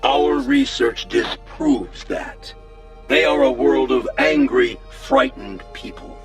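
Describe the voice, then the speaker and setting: Merciless alien commander, radio drama: menacing, heavy creak, drawn-out delivery, pronouncing judgment. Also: male voice, fairly high pitch, huge pitch swings, exaggerated voice. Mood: cold menace. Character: cold, merciless alien commander condemning a traitor. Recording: music behind the voice.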